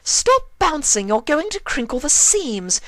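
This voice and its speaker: A man's voice: very high-pitched, very animated, unhurried, bright, forceful tone, no echo, pitched above the performer's own voice, full character voice. A man voicing a prim, fussy, bossy female pony dressmaker with affected, refined diction in a storybook reading. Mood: exasperated, bossy.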